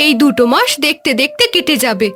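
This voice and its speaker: A woman's voice: high-pitched, sing-song, fast, a bit bright, faint music behind. A woman voicing a patient village wife in a cartoon, who comforts her husband. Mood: comforting.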